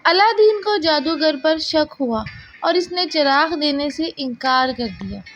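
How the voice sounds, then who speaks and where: A woman's voice: high-pitched, lively intonation, measured pace. A woman reading a scripted children's story as the storyteller. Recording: slightly dull, lo-fi narration track over music. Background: soft background music.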